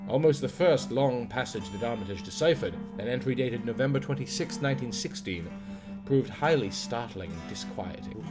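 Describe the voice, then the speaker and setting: Guy reading a horror audiobook, formal measured delivery, average pitch, guitar music playing under it. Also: animated. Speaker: audiobook narrator. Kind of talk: scripted literary narration of a horror story.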